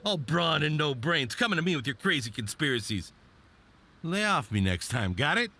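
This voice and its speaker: An actor playing a brash, smug merchant in a game, talking in a mocking, blustering way. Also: male voice, a little high, very animated, normal speed, sharp tone, exaggerated voice.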